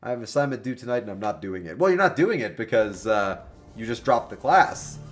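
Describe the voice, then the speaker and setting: A man in his twenties chatting casually with viewers while livestreaming a video game, joking and animated. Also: medium pitch, a little fast, faint music behind. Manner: animated, joking, talks to chat by name. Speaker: game streamer.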